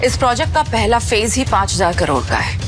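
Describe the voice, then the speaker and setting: A woman's voice: a little high, big pitch swings, a little fast, music in the background. A woman playing a confident, scheming business villain in a Hindi audio drama, calculating and dramatic.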